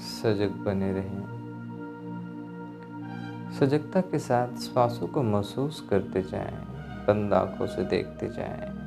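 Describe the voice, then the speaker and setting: A man giving guided meditation instruction, speaking calmly in measured phrases with pauses as an instructor. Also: fairly low pitch, gravelly. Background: music.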